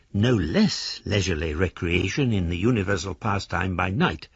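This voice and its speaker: Casting: pompous older gentleman for radio drama, husky and animated, reading flowery letters; dry, slightly dull sound. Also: lowish voice, no room sound, heavily acted. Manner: pompous, flowery, ornate phrasing. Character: courtly, florid older gentleman, newly rich and travelling.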